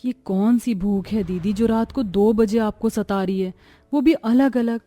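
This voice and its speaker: A woman's voice: medium pitch, measured pace, mellow, faint music behind, lightly acted. A woman voicing a tired, polite pregnant young sister-in-law who complains wearily in a cartoon story. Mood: weary, resigned. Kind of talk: acted Hindi cartoon moral story.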